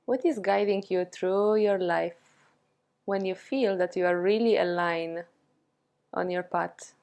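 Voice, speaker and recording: Slow-paced woman guiding a meditation. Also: on the low side, vocal fry. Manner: calm, soothing, with long pauses between short phrases. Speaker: yoga and meditation teacher. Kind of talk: guided meditation.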